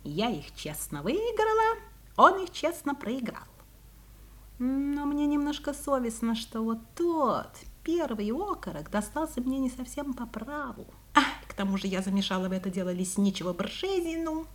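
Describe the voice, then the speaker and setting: Very high-pitched sly lady fox. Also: big pitch swings, moderate pace, a bit bright. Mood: musing, gleeful. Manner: theatrical, mock-polite, exclaiming and musing. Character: cunning female fox, teasing, sly and self-satisfied. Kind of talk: 1970s Soviet audio play acting for children.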